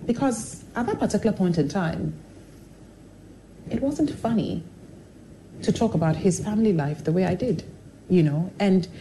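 A woman's voice: mid-range voice, slight background noise, low-quality recording. A woman who is a television personality, talking candidly in an interview and acting out the voices of people in her stories. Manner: expressive, animated storyteller who acts out quoted voices. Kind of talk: candid motivational interview talk.

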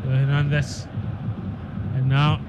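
A man's voice: medium pitch, completely flat delivery, slow. A man giving live football commentary on the radio. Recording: clear background noise, stadium ambience.

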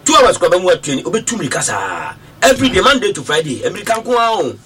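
A man's voice: high voice, huge pitch swings, fast, fairly bright tone, breathy edge, distant mic. A man with a Ghanaian accent hosting a sports talk show, giving short prompts and switching between English and Twi. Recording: off-mic with faint background noise.

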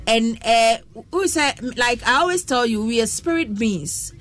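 Ghanaian woman radio host, animated studio banter, high voice, laughs often. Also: a little slow. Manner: animated, mixes English and Twi, laughs often.

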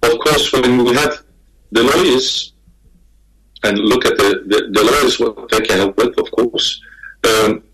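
A man from Denmark, speaking as a guest on a panel over a video call, halting and repeating words as he talks. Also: mid-range voice, fairly even intonation, a bit bright, rough recording. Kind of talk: remote panel talk.

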